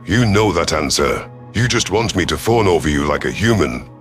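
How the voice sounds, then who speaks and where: A deep-voiced, gruff reptilian war commander acted by a man narrates a sci-fi audio story. He is proud, stern and sardonic, with faint music beneath the voice. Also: animated, moderate pace. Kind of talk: first-person scripted audio story narration.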